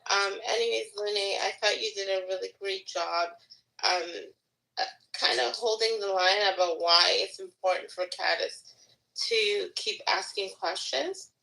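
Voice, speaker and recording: A woman's voice: medium pitch, steady tone, a little slow, vocal fry, bright, forceful tone, poor audio. A woman who is losing her voice, chatting casually in a group discussion. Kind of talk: casual online group talk.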